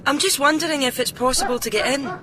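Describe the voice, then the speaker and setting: A woman's voice: high voice, fast talker, crowd noise, lightly acted, low-quality recording. A woman playing a hesitant, earnest young woman with a Scottish accent in an intimate radio drama. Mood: polite, hesitant. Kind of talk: radio drama dialogue and first-person narration.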